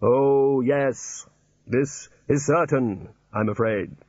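A man voicing Death as a calm, courteous spirit. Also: mid-range voice, takes it slowly, husky, no room sound, highs cut off. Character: Death, calm polite skeletal male spirit.

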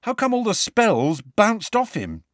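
A man's voice: high voice, huge pitch swings, unhurried, fairly bright tone, no echo, clean studio sound, voice pushed up, heavily acted. A man voicing an anxious, plain-spoken teenage schoolboy in a storybook reading. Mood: alarmed.

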